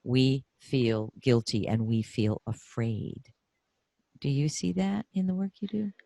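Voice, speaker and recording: A middle-aged woman hosting a conversational interview, animated and effusive as she thinks aloud. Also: rumbling low, slow pace, vocal fry, dry recording. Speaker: podcast host and interviewer.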